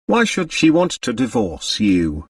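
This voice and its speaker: A man's voice: mid-range voice, huge pitch swings, a little slow, husky, dry recording, clean studio sound, far from the mic, exaggerated voice. Man playing a languid, seductive female dancer. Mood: matter-of-fact, demanding. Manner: murmuring, languid, dramatic. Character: languid, self-absorbed, seductive female dancer, theatrical and grasping.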